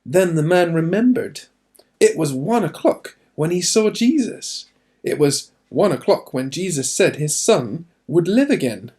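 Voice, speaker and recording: Guy reading a kids story out loud, slow n expressive, really animated pitch. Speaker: reader of a children's story. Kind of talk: expressive children's storybook narration.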